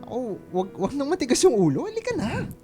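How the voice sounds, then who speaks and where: A man playing a kind, playful young suitor in a Filipino radio drama, with a coaxing, expressive delivery. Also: extremely high pitch, brisk, faint music behind, exaggerated voice. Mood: caring, coaxing. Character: Romel, kind, playful, caring young man courting a volunteer.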